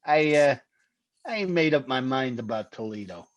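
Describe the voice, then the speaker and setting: An actor playing a cynical, streetwise suspect in a 1950s-style radio crime parody, defiant, sneering and slangy. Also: male voice, mid-range voice, expressive, moderate pace, dull audio, close to an everyday voice. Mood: grudging, stubborn. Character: cocky, cynical male suspect, streetwise grumbler.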